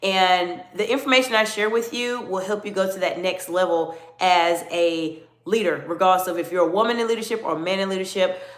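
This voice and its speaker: Animated American woman coach, echoey room. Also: fairly low pitch, a little fast. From United States. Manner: animated, greets viewers by name. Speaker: executive coach. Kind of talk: livestream talk with chat.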